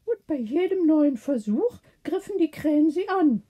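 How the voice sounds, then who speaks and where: A woman as a high-pitched, brisk old lady. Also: animated, mellow. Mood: vivid telling. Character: brisk, correcting old lady neighbour with terriers.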